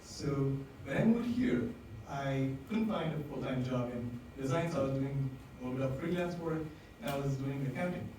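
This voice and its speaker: A man's voice: mid-range voice, expressive, moderate pace, hardly any pauses, dark tone. A man with an Indian accent telling personal stories to an audience in a conversational, candid way, with many fillers. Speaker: speaker at a design event. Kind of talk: storytelling talk to an audience. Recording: echoey room, distant mic, ambient noise.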